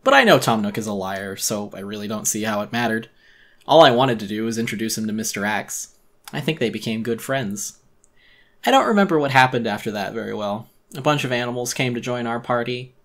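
A man gives a dramatic reading of a first-person horror story. His medium-pitched voice is bright and tense, and his delivery is theatrical. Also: lively intonation, brisk. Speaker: narrator.

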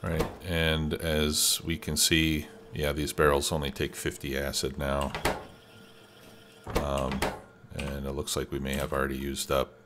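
A man's voice: a bass voice, monotone, a little slow, background noise. A man with a stuffed-up cold, casually commenting on his gameplay as a let's play host, thinking aloud with many fillers. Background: background music and keyboard typing.

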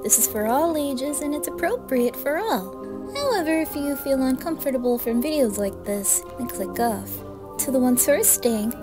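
A woman giving a scripted introduction to an ASMR video as its creator. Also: fairly high pitch.

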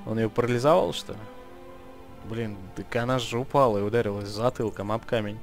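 A man's voice: on the low side, brisk. A man giving casual gameplay commentary, muttering item names and making dry, sarcastic asides. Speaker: let's play host. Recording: voice over game music.

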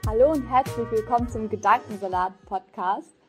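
A woman's voice: a little high, normal speed, faint music behind. A woman hosting a podcast interview, upbeat and laughing often, in a casual chat. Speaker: podcast host. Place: adult education center.

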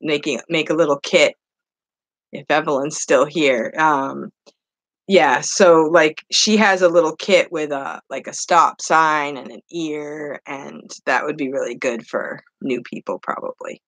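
A woman's voice: low voice, unhurried, a bit bright. A woman in her fifties from New Hampshire chatting casually to viewers in a home webcam vlog, rambling with lots of fillers and frequent laughs. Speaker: online English teacher and vlogger. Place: small home room with wood-paneled walls. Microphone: webcam at desk.

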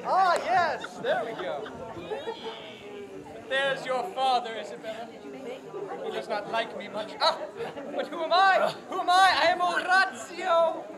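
A woman's voice: sits high, expressive, unhurried, pauses often. A woman playing a lovesick young male poet, declaiming in florid, overblown phrases. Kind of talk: outdoor commedia dell'arte street show. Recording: crowd noise outdoors.

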